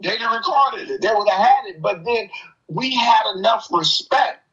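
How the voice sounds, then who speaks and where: A man's voice: high voice, lively intonation, normal speed, a bit bright. An older man in casual, opinionated conversation, telling stories in an emphatic, streetwise way. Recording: dull, lo-fi audio.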